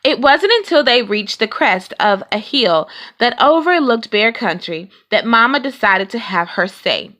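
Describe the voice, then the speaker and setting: Bright-voiced woman reading a children's story. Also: on the high side, animated, a little slow, few pauses. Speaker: storybook reader. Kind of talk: expressive children's read-aloud narration.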